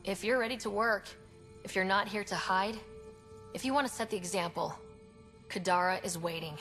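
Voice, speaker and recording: A woman's voice: medium pitch, moderate pace, fairly bright tone, soft background music, close to an everyday voice. An actress playing a determined young woman who leads an expedition, earnest and direct. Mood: rallying. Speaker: pathfinder.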